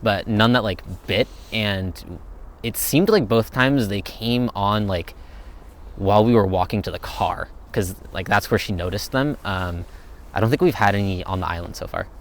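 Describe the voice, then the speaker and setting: A young man talks in a loose, meandering way, answering questions off the cuff. His voice sits fairly low and relaxed. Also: moderate pace. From United States. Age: young adult. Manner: laid-back, rambling, thinks aloud. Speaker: vlogger answering viewer questions. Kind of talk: casual Q&A chat.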